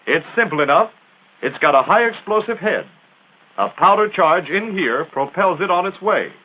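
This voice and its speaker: High-pitched American man, vintage film narrator. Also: animated, normal speed. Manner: punchy, emphatic, instructional. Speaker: military training film narrator. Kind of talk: 1940s military training film narration. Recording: tinny narrow-band 1940s film soundtrack.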